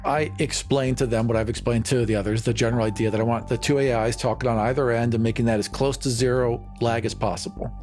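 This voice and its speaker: A man with a mid-pitched voice talks through a tabletop roleplaying game. He improvises conversationally, slipping into character, with faint music underneath. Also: steady tone, quick pace, hardly any pauses, darkish tone. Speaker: player in a tabletop roleplaying show.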